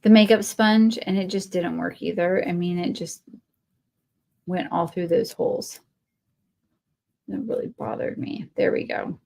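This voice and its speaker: A woman's voice: lowish voice, steady tone, measured pace, vocal fry, pauses often, a little mellow. Chatty woman with a Texan twang. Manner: chatty and rambling, talks to viewers while working; friendly, answers viewer comments. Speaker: craft livestream host. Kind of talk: casual live crafting chat.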